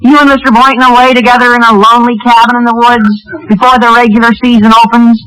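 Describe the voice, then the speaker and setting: An actor playing an excitable, florid teenage schoolboy in a 1940s radio comedy. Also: male voice, very high voice, fast talker, smooth, rough recording. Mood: dramatic. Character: eager, squeaky teenage schoolboy with florid gallantry. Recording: vintage radio, audible studio audience.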